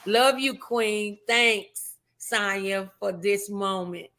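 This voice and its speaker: Bright-voiced woman hosting an inspirational talk show. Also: mid-range voice, takes it slowly. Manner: encouraging, affirming, preachy. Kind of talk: warm faith-based interview.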